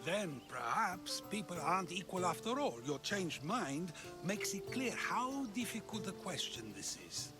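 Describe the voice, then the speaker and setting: An actor playing a playful, probing philosopher in a video game, eloquent and teasing. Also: male voice, sits high, very animated, a little slow. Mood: probing, satisfied. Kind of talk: cinematic video game acting. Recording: music behind the voice.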